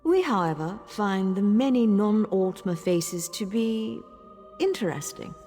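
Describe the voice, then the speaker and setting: An actress playing a poised, coolly condescending high elf queen in a fantasy game, speaking in a stately, deliberate manner. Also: animated, dark tone, in a large hall, faint music behind, natural voice. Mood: coolly gracious.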